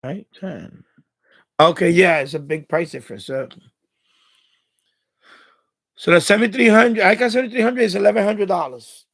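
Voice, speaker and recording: A middle-aged man hosting a casual live stream, rambling and thinking aloud in a halting way. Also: high-pitched, vocal fry, fairly bright tone.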